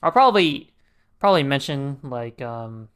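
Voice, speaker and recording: A man's voice: mid-range voice, expressive, normal speed, clear, resonant tone. A man livestreaming a game with casual, joking commentary, reacting off the cuff. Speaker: streamer. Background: game music.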